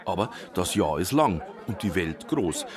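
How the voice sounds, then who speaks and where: Deep-voiced documentary narrator. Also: male voice, big pitch swings, a crowd in the background. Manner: wry, measured storytelling. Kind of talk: scripted narration.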